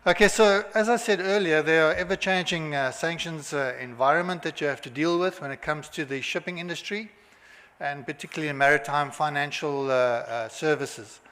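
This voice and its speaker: A man with a South African accent giving a halting conference presentation, full of filler sounds and restarts. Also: fairly high pitch, fairly bright tone. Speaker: conference speaker. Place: hotel conference hall. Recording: long hall reverb.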